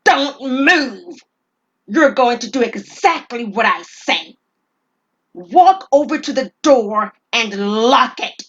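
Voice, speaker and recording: A woman voicing an unstable, desperate robber in his forties, with forceful, bitter delivery in an audio drama. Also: a little high, expressive, slow pace, muffled recording, voice pushed up. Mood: menacing.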